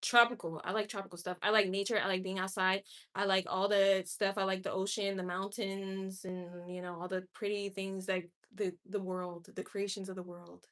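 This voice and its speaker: A woman's voice: mid-range voice, flat delivery, normal speed, fairly bright tone. A woman in her late twenties chatting candidly and with strong opinions on a livestream, answering her viewers' comments directly. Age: 20s. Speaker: livestream host.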